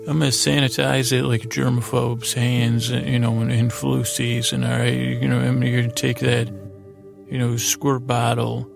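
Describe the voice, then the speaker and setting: Male American sleep-podcast host: heavy vocal fry, even low-key intonation, rambling and soothing, stumbling over restarts. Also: music in the background. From United States. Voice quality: very creaky, gravelly. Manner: meandering, halting, with stammered restarts; lulling, soothing delivery. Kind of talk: rambling bedtime-story podcast intro.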